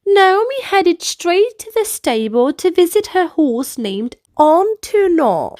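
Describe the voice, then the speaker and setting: A woman's voice: very high-pitched, big pitch swings, slow, a little mellow, no echo, clean studio sound. A woman narrating a children's storybook and guiding young listeners through dance movements in an instructive manner. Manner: instructive, clearly paced delivery for young listeners.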